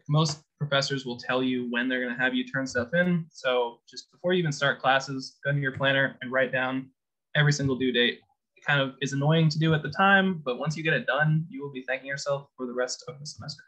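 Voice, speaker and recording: Young male student from Colorado, relaxed chatty panelist, mid-pitched, dull video-call sound. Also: a little fast. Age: young adult. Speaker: orientation leader. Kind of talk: casual panel introduction and advice.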